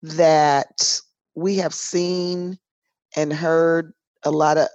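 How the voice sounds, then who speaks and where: A woman with a hoarse, worn voice, talking unhurriedly and emphatically as she co-hosts a casual chat. Her tone sits fairly low, and the recording is close and dry with no room sound. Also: level tone, a little mellow, no echo. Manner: emphatic, drawn-out, folksy asides like "girl" and "honey". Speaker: podcast co-host. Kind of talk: casual chat between sisters. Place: home in a city in Washington State.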